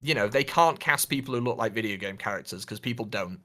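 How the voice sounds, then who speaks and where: A man's voice: fairly low pitch, quick pace, fairly bright tone. A man co-hosting a podcast, talking casually about films and games in a hesitant, hedging way. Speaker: podcast co-host.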